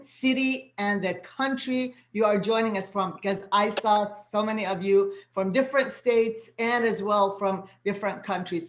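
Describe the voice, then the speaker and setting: A woman whose first language is Arabic, speaking at a middle pitch as she leads an online spiritual gathering. Her delivery is gentle and affirming, often repeating words for emphasis. The video call carries a roomy echo. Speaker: host, soul guide and coach. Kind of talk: inspirational welcome to an online gathering.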